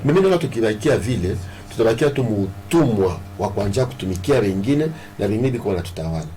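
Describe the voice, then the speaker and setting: A man's voice: on the low side, expressive, fast, warm tone. A man with a Congolese accent being interviewed as a political candidate, switching between Swahili and French in an emphatic, self-assured way and repeating phrases. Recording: clearly noisy background ambience.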